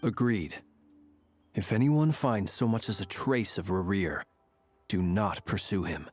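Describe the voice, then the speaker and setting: Low, husky, composed investigator. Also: male voice, a little mellow, faint music behind. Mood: firm. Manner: measured, serious. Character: composed, cautious male investigator, calm leader. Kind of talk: video game voice acting.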